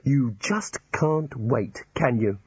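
A man's voice: on the high side, normal speed, dull audio, a little hiss or hum, pitched above the performer's own voice, lightly acted. A man voicing a gloomy, sardonic wizard in prison.

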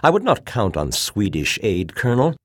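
Man acting a fervent, scornful military aristocrat, emphatically raised pitch. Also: very animated, measured pace, no echo, clean studio sound, full character voice. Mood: bitter, scornful. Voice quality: ringing, heated delivery. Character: fervent, bitter, scornful Finnish officer, an aristocrat. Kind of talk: dramatized audiobook dialogue.

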